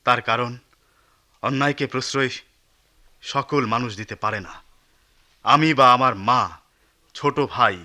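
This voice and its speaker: Forceful, righteous young man. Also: medium pitch. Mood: righteous, resolute. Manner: declamatory, emphatic, deliberate phrasing. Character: earnest, righteous educated young man testifying in court. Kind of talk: radio drama acting.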